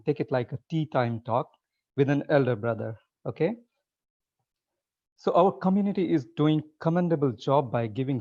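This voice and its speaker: A man, talking in a warm, mellow tone. He speaks in an easygoing, conversational way and often restarts his sentences. The sound is a little dull and muffled, like audio from a video call. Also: medium pitch. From Nepal. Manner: relaxed and conversational, with frequent restarts. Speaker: guest speaker. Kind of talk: informal webinar talk. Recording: slightly muffled webinar audio.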